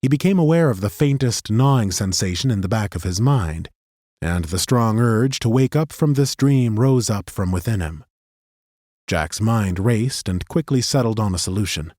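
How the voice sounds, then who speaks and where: Expressive low-voiced male audiobook narrator. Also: normal speed, dry recording, clean studio sound. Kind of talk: expressive scripted fantasy narration. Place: dry recording studio.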